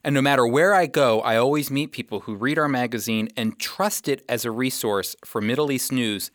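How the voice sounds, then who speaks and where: A man gives a promotional testimonial on the radio, sounding folksy and sincere. His pitch is ordinary for a man, and the recording is very dry. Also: moderate pace, fairly bright tone, no room sound.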